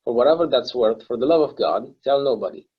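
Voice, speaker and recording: A man's voice: medium pitch, animated, brisk, slightly rough, phone-quality sound. A man reading the part of an irritable, secretive recluse in a stage play table read, speaking in a curt, defensive, grudging way. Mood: anxious, insistent. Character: guarded, irritable male recluse, thousands of years in hiding.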